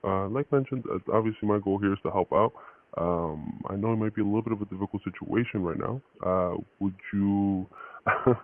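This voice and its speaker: A man's voice: fairly low pitch, brisk. A man working as a sales agent on a phone call, informal and accommodating. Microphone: phone line. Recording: thin, band-limited phone call recording.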